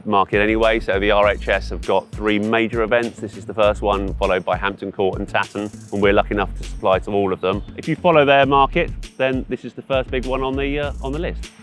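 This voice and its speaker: A man's voice: lowish voice, brisk, few pauses, fairly bright tone, faint music behind. A middle-aged man giving informal, explanatory answers in an interview about his trade. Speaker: event PA hire company representative, interviewee.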